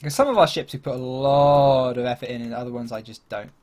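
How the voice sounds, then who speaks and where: Young English guy at a mid pitch, rambling and easygoing, streaming while chatting with a co-host. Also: fairly quick, darkish tone. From England. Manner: chatty, rambling, laughs easily. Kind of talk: casual livestream chat.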